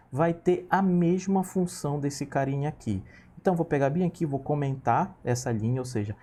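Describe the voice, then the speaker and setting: A man's voice: mid-range voice, warm tone. A man in his thirties teaching a programming lesson, patiently walking through each step and checking in with his students. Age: 30s. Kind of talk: screen-recorded coding lesson.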